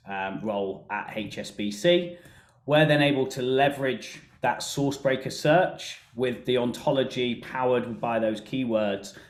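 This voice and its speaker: A man presenting a software walkthrough in a webinar, explaining things in a conversational way. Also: mid-range voice. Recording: roomy, echoey sound.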